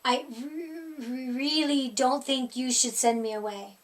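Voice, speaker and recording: A woman's voice: high voice, steady tone, distant mic. A woman voicing a big scruffy boy monster for a storybook, whiny and bumbling, slurping and stammering as he tries to be menacing. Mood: whiny, huffy. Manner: slurping, stammering, drawn-out words.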